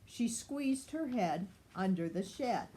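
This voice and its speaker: An older woman reading a children's story aloud in an expressive, grandmotherly style. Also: medium pitch, slow pace, slight background noise.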